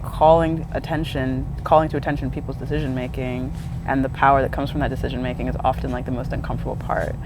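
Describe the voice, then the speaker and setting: A man's voice: mid-range voice, flat delivery, fast talker. A man in a public conversation, speaking in a thoughtful, searching way with many fillers, outdoors at a busy train station. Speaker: guest speaker. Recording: noisy outdoor street recording. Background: traffic and vehicles.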